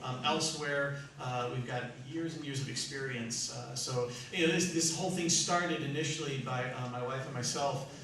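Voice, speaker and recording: A man hosting an audience question session for a theatre troupe, telling anecdotes in an animated, joking way. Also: mid-range voice, moderate pace, hardly any pauses. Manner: animated, joking, often laughing. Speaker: radio theatre troupe founder and host. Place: live hall with an audience. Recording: echoey hall, distant mic, noisy.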